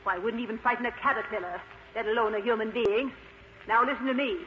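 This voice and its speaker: A man's voice: extremely high pitch, fairly even intonation, fast, voice pushed up. A man voicing a strict, exasperated female ghost schoolteacher in a storybook tale, scolding and commanding in a theatrical way. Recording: dull, lightly noisy recording.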